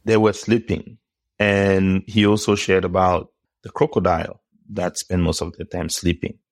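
A man with a very low voice speaks as an interview guest. He talks in a reflective, unhurried way, thinking aloud and often dropping in "you know". The recording is dry and close, with little room sound. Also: little pitch movement, no echo. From Cameroon. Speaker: podcast guest, neurotechnologist. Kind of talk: casual interview conversation.

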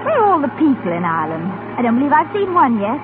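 A woman's voice: high voice, very animated, quick pace. An actress playing a composed, light-hearted young English lady in a 1930s radio drama. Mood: poised. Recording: tinny, very noisy 1930s radio.

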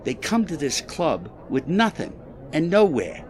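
A man acts out a proud Chicago athletic club boss in a period audio drama. His pitch swings widely as he moves between slippery politeness and combative bluster. He sounds streetwise and quick to take offense. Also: big pitch swings. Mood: boastful, defensive.

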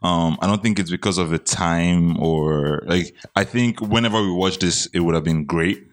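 A man's voice: deep voice, normal speed. A young man co-hosting a casual chat, emphatic and slangy, often checking that he is understood. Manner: emphatic, slangy, swears, seeks agreement. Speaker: podcast co-host.

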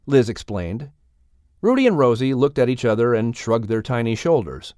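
Guy reading a kids audiobook, really lively swings, slightly high voice, dry booth sound. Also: moderate pace, dry recording. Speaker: audiobook narrator and announcer. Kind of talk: expressive children's story narration.

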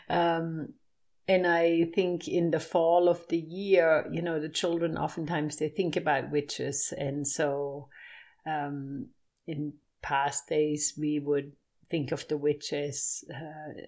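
A woman's voice: low voice, a little mellow. An older woman with a German accent, a storyteller speaking reflectively in an interview, sometimes searching for words.

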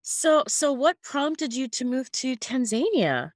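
A woman hosting an interview podcast, speaking with a slightly husky voice. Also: high voice, very animated, slow, no echo, clean studio sound. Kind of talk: scripted podcast intro and conversational interviewing.

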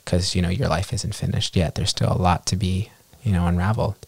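A man, hosting a casual conversational interview in a tentative, halting way as he gathers his thoughts. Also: sits low, steady tone, vocal fry, no echo. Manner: tentative, halting, attentive listener. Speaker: podcast host, interviewer.

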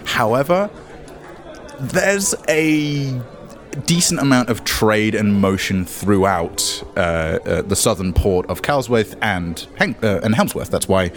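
A man's voice: fairly low pitch, slow pace. A man acting as game master, narrating and improvising in a playful, conversational way. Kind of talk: improvised tabletop game narration, casual banter.

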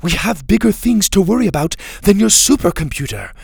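A man's voice: fairly high pitch, expressive, measured pace, dry recording, clean studio sound, voice pushed up. A man voicing a stern, practical, impatient female leader in a storybook, speaking firmly and formally. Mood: snappish disbelief. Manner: firm, snappish, formal wording without contractions.

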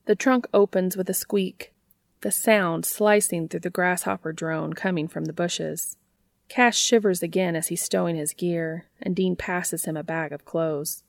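Female audiobook narrator for fiction, lowish register with a gravelly edge, scripted read. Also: fairly even intonation, measured pace, no echo, studio quality.

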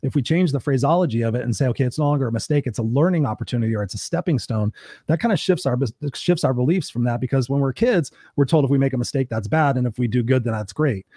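A man hosting an interview, quick and encouraging as he sets up a question for his guest. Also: mid-range voice, dark tone, no room sound, clean studio sound. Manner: quick and encouraging, affirming often. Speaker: podcast host. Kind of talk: interview conversation.

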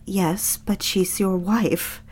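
An actress playing an anxious young secretary who speaks hesitantly and softly in an audio drama. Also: female voice, mid-range voice, steady tone, takes it slowly, slightly rough. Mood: troubled. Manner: hesitant, troubled, soft.

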